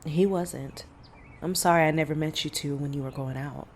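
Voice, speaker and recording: A woman acting a composed, secretive middle-aged mother and monster hunter in an audio drama, speaking in a measured, gentle way. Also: deep voice, slight background noise, close to an everyday voice.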